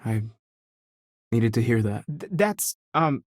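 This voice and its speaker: A man's voice: medium pitch, big pitch swings, slow pace, no room sound. A bookish young space explorer for a video game, sincere and reflective in his delivery. He talks conversationally, as if working through big questions, in a very dry recording with no room around it. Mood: earnest, thoughtful. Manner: thoughtful, earnest, conversational. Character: earnest, bookish young male spiritual scholar and space explorer. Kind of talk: video game character dialogue.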